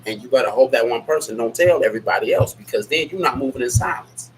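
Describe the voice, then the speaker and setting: A man's voice: mid-range voice, animated, fast. A man hosting a casual live Q&A, sick with a stuffy nose and scratchy throat, talking in a rambling, blunt way. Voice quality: nasal. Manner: rambling, candid and blunt, reads out chat names. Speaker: livestream host.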